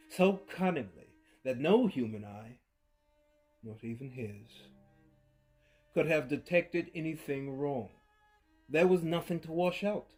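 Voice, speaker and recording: A man giving a theatrical reading of a story, swinging from hushed to loud. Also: a little high. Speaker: narrator. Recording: faint music behind.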